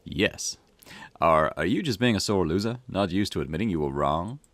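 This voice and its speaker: A man's voice: low-pitched, animated, moderate pace, no echo. A man voicing an eager, cheeky junior police detective in a visual novel, chatty and teasing. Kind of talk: acted visual novel dialogue. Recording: music behind the voice.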